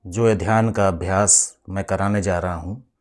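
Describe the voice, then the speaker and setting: A man leading a guided meditation as a spiritual teacher, speaking in a measured, instructive way with deliberate pauses. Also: deep voice, steady tone.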